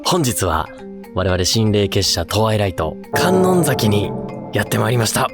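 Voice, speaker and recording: A man's voice: lowish voice, lively intonation, moderate pace, loud music behind the voice. A man hosting a ghost-spot exploration, explaining the location outdoors and chatting casually with a companion. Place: outdoors on location in a seaside park at night.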